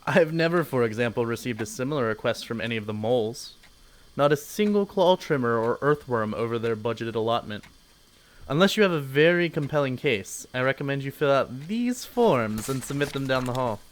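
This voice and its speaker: A man's voice: mid-range voice, expressive, a little fast, lightly acted. Male actor as stuffy corporate manager, pompous, prickly and officious, very varied intonation, audio drama. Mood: condescending. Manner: pompous, condescending, clipped officialese. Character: pompous, officious male corporate bureaucrat boss, easily offended.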